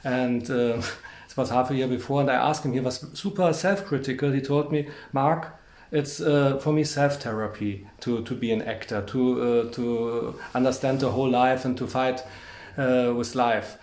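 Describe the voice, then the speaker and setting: A man's voice: medium pitch, a little mellow. German-accented man interviewing, echoey room. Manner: rambling, halting anecdotes. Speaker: interviewer, film journalist. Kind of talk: conversational interview.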